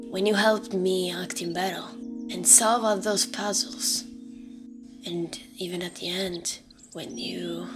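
A woman's voice: medium pitch, close to an everyday voice. A woman voicing a brash, hot-tempered teenage monster girl in a dramatic comic dub, blunt and confrontational but caring underneath. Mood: awkward, defensive. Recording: background music under the voice. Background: music.

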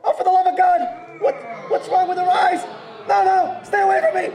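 A man's voice: extremely high pitch, little pitch movement, normal speed, a crowd in the background, rough recording. A man acting a terrified, stammering man pleading for rescue in a live radio drama. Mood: panicked pleading.

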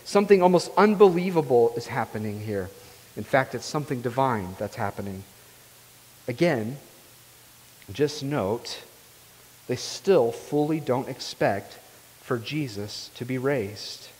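A young man preaching a sermon as pastor, earnest and emphatic, often asking his listeners "right?". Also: medium pitch. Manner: earnest, rising emphatically on key phrases, often asks "right?". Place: church sanctuary, large room. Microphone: far camera, speaking at a pulpit. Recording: long reverb of a church hall.

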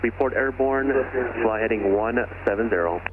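A man's voice: on the low side, moderate pace. A man working as an air traffic controller, giving clipped procedural instructions over aviation radio. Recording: narrowband aviation radio with engine noise. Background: airplane engine noise.

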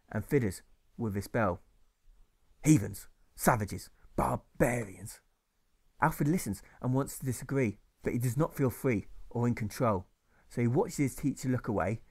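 Male narrator, mid-pitched, reading verse with a steady rhythm. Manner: rhythmic, measured. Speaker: author reading his own novel. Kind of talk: rhyming audiobook narration.

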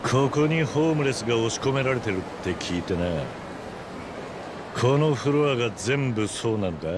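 ゲームのカットシーンで、落ち着いて凄みのある、ぶっきらぼうな中年男を演じる男性俳優。. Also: male voice, mid-range voice, animated, normal speed, noisy background, lightly acted. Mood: stern, cold. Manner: gruff, terse, composed. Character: tough middle-aged man, calm, stern, quietly threatening fighter. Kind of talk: video game cutscene acting.

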